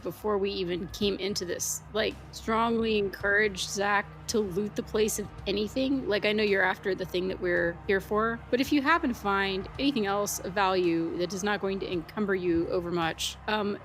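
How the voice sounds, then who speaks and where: A woman's voice: mid-range voice, normal speed, hardly any pauses. A woman chatting casually as a player in a tabletop roleplaying game.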